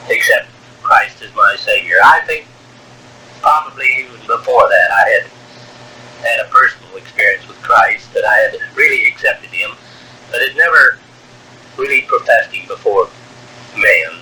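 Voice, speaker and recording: A man in his sixties from Wyoming, telling his life story on a home cassette tape, halting, with many fillers, and a strong voice. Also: fairly low pitch, sharp tone, slight background noise, low-quality recording. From Wyoming, USA. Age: 60s. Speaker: narrator of own life story. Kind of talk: taped personal memoir. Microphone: cassette recorder.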